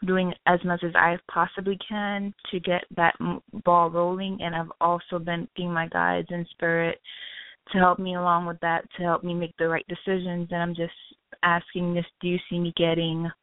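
A young woman from Haiti calling in to a radio show, talking eagerly and rambling on, apologizing as she goes. Her voice sits on the low side. It comes through a dry, tinny phone line. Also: monotone, normal speed, no room sound. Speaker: caller.

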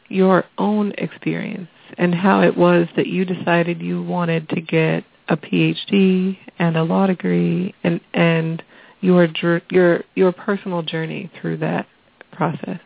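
A woman interviewing a guest, asking questions in a halting way and repeating words as she starts. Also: on the low side, vocal fry. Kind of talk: interview conversation. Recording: phone-like narrow audio.

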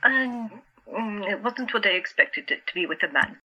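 An actress playing a troubled, earnest, gentle female asylum patient in an audio drama, hesitant and confiding. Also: mid-range voice, animated, moderate pace, breathy edge, highs cut off.

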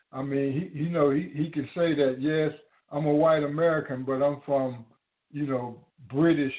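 A man preaching to his listeners, halting often with repeated words and fillers. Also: a little high. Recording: tinny phone-like audio.

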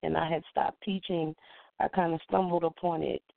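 A congested woman recovering from the flu, speaking deliberately and reflectively as an interview guest who is a poet and educator. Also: deep voice, flat delivery, gravelly, no echo. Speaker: featured guest, spoken word poet and educator. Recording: muffled phone-quality call-in line.

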